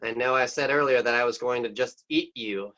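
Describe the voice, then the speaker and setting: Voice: medium pitch, fairly quick, a bit bright, highs cut off, lightly acted. An actor playing a scheming big bad wolf who gloats and chuckles in a community theatre show. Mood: gloating.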